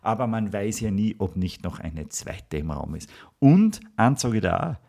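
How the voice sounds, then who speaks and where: A man's voice: lowish voice, very animated, moderate pace, gravelly, darkish tone. A man with an Austrian accent co-hosting a podcast in casual chat, explaining things at length with dry, mock-grumpy humor. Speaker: podcast co-host.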